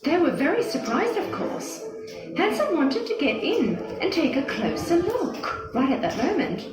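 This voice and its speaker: Woman reading a kids fairy tale, high voice, really animated, echoey w music behind. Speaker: storyteller. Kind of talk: scripted fairy tale narration for children.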